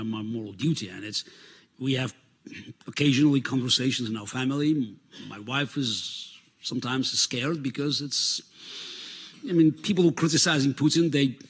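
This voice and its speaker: A man in his fifties with a Russian accent, a native Russian speaker answering interview questions earnestly, halting often with fillers and restarts. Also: medium pitch, fairly bright tone, slightly rough.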